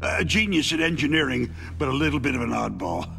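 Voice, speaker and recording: An actor in a video game playing a middle-aged arms company chief, a tortured, panicky captive who talks in halting, agitated stammers. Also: male voice, mid-range voice, very animated, creaky, a bit bright, a little hiss or hum, heavily acted. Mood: earnest, urgent. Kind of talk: dramatic video game voice acting.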